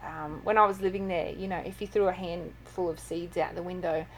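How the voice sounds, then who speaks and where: An Australian woman talks as an interview guest, rambling through anecdotes with plenty of fillers. Her voice sits a touch low, with a faint hum of noise behind it. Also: fairly even intonation, a little fast, rough recording. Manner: chatty storyteller, many fillers. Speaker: author and guest. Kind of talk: casual interview chat.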